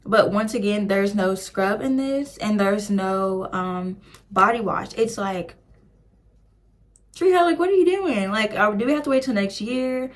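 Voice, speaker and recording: A woman's voice: mid-range voice, animated, unhurried. A young woman, chatting casually and gushing over products in a beauty review, full of "like" fillers. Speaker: beauty vlogger.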